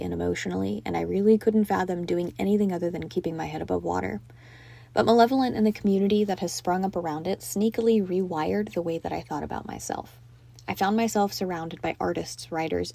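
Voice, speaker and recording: A woman's voice: on the low side, vocal fry, mellow, dry recording. A young woman reading out a prepared personal testimonial as a listener's voice message.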